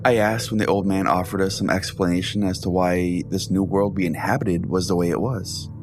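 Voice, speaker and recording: Low-voiced man narrating a comedic story. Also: fairly even intonation, a little fast. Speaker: podcast host and storyteller. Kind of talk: scripted comedic first-person narration. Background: music.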